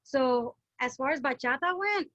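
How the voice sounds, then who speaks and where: A woman hosting a podcast, chatting casually in a lively way and quick to chime in. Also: sits high, no echo, muffled recording. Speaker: podcast host. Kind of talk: casual chat.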